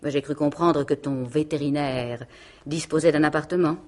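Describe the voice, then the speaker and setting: An actress playing a domineering, cold aunt in a radio mystery play, with an imperious, cutting and sarcastic manner. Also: female voice, deep voice, fairly even intonation, normal speed, a little mellow, lightly acted. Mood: commanding. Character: domineering, cold aunt who rules the household.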